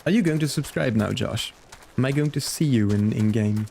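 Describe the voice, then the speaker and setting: A man's voice: medium pitch, normal speed, vocal fry, dark tone, slight background noise. A man chatting casually and playfully with viewers as he plays and comments on a game on a live stream.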